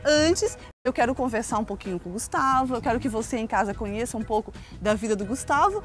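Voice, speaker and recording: Cheerful TV hostess over studio music. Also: female voice, fairly high pitch, animated, fast. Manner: cheerful, addresses viewers at home. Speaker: TV show host. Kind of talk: TV studio interview.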